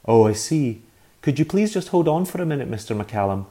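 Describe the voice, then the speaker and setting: A man's voice: medium pitch, big pitch swings, fairly quick, dark tone, pitched above the performer's own voice, close to an everyday voice. A man voicing a timid young boy who speaks with anxious, exaggerated politeness. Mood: nervously polite. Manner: overly polite, nervous.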